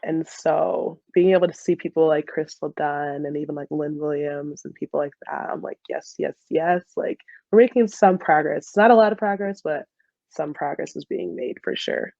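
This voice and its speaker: A woman's voice: low voice, normal speed, creaky, mellow, dry recording, muffled recording. A young woman in a casual interview as a soccer player guest, peppering her talk with "like". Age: young adult. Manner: heavy use of "like" as she talks.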